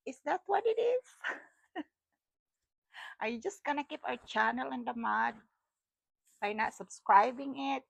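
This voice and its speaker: A woman's voice: a little high, lively intonation, unhurried, gravelly, long pauses, slightly rough, no room sound, low-quality recording. A middle-aged Filipina woman with a Cebuano accent, a wellness vlogger rambling casually to camera, repeating her phrases and laughing often. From Philippines.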